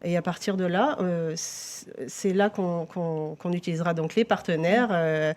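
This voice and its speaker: Woman police victim-support officer, TV talk show guest, explanatory, frequent restarts, mellow dark voice. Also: on the low side, measured pace.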